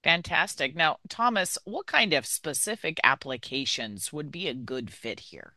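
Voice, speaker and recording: A woman's voice: sits low, lively intonation, unhurried, bright, forceful tone, dry recording, clean studio sound. A woman hosting a tech podcast, upbeat and enthusiastic as she interviews guests and reads news. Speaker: podcast host.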